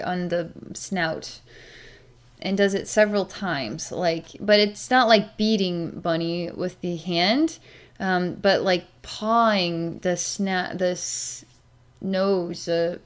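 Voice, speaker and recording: A middle-aged woman thinking aloud as an animal communicator, speaking haltingly and meditatively with pauses. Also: lowish voice. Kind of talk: slow narration of a psychic session with pets, thinking aloud. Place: small plain room indoors.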